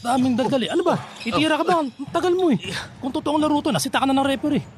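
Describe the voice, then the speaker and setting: A man's voice: very high-pitched, lively intonation, racing, slight background noise. An actor playing a competitive, teasing but devoted middle-aged father in a radio drama, exhorting his son. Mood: pushy, teasing. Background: background music.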